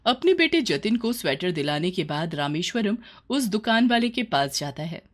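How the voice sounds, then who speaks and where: A woman's voice: fairly low pitch, very animated, moderate pace. A woman narrating a scripted moral story for children as its storyteller.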